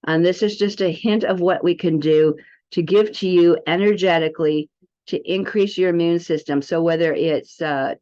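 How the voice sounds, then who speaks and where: A woman's voice: fairly low pitch, a little mellow. A woman giving an informal, rambling product demo as an alternative health promoter, chatting directly to the viewers. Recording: dull lo-fi audio.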